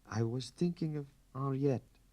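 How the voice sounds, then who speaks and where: A man's voice: medium pitch, a little slow, mellow, voice pushed up, lightly acted. A man voicing a poised, candid aristocratic countess in a storybook reading, with a refined and emphatic delivery. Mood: thoughtful, explaining.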